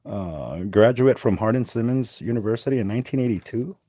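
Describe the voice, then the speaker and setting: A man's voice: medium pitch, lively intonation, brisk, mellow, no echo. A man in his fifties co-hosting a podcast, talking bluntly and with jokes in casual chat. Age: 50s. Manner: blunt, joking. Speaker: podcast co-host.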